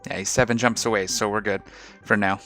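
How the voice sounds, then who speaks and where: A man hosting a casual game video, talking through his ship setup with a slightly rough, hoarse voice. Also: lowish voice, fairly quick, faint music behind. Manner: relaxed, rambling, explains ship fittings. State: slightly rough voice after a night of singing. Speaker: gaming channel host. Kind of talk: casual gameplay commentary.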